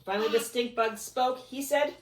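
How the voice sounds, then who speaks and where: A woman's voice: on the high side, fairly even intonation, moderate pace. A woman reading a children's storybook aloud expressively, chatting casually with a child between passages. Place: at home. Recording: echoey room, distant mic, background noise.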